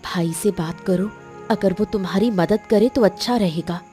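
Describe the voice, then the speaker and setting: A mellow-voiced village wife from a cartoon moral story , acted by a woman, emotional and calculating. She speaks with earnest, deliberate drama in a mid-pitched voice, over faint music. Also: lightly acted. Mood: earnest, wary.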